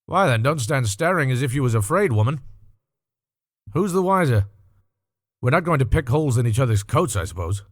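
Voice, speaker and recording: Man putting on a brazen, high-pitched thieving woman. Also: big pitch swings, a little fast, pauses often, no echo, clean studio sound. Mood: defiant. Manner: brash, scornful, colloquial. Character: bold, brazen working-class woman thief, defiant and callous.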